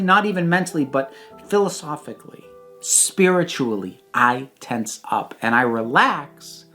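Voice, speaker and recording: A speaker in their thirties giving a prepared personal reflection, with measured, emphatic phrasing and deliberate pauses. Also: mid-range voice, fairly bright tone. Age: 30s.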